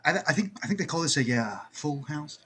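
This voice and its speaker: A man's voice: fairly high pitch, lively intonation, a little fast, bright, forceful tone, breathy edge, heavily acted. A man playing a nervous, bookish, principled newcomer at a poker game, hesitant, polite and articulately sarcastic in a drama table read. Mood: tentative.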